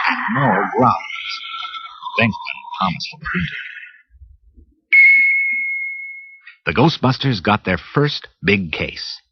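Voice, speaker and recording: A man narrating a read-along storybook in a lively, expressive way. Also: medium pitch, heavy background noise. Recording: muffled cassette with music bed.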